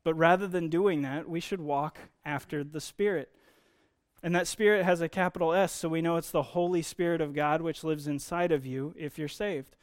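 A man's voice: on the high side, steady tone, moderate pace. A man giving a conversational sermon, explaining Bible teaching in an informal way with emphasis on key words.

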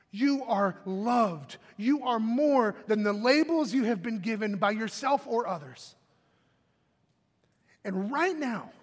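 A man preaching as a pastor to a church, with deliberate, emphatic delivery, in a reverberant hall. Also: high-pitched. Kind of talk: sermon.

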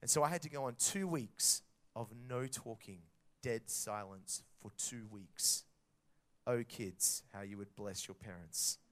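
A man preaching a sermon to children and parents, telling a story in a lively way and acting out the dialogue. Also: lowish voice, measured pace.

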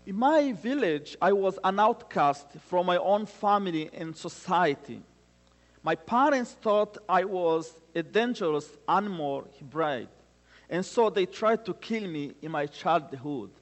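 A man with a Rwandan accent delivers a church testimony in a slightly high voice. He speaks slowly and earnestly, phrase by phrase, and his words ring through a long hall reverb. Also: lively intonation. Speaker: guest speaker giving testimony. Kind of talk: church testimony with scripture readings.

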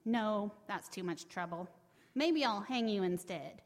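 A woman voicing a sly, scheming male fox who gloats in a slow drawl. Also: mid-range voice, lively intonation, long reverb tail, natural voice. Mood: musing.

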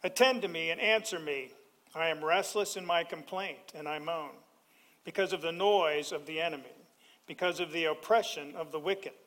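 A middle-aged male pastor preaching a sermon, animated and joking with the congregation. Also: a little high, moderate pace, rough recording.

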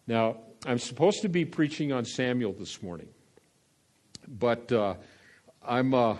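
An older man preaching a sermon in a folksy, meandering way, with plenty of uhs and restarts. His voice sits at an ordinary middle pitch. He drops to quiet asides, then rises to loud calls of amen. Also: expressive, unhurried. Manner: swings between quiet asides and loud exclamations. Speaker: pastor.

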